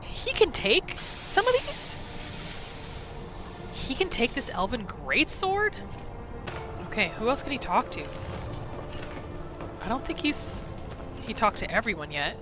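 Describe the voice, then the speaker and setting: A woman's voice: mid-range voice, very animated, takes it slowly, pauses often. A woman hosting a gaming video, giving casual, chatty commentary as she muses through a roleplay playthrough. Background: game music.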